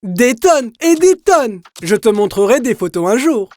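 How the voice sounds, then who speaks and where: A woman's voice: on the high side, sing-song, unhurried, a little mellow, dry recording, studio quality. A woman voicing a theatrical, boastful character with a declamatory manner in a children's cartoon dub. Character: theatrical boastful hairstylist and formal contest announcer.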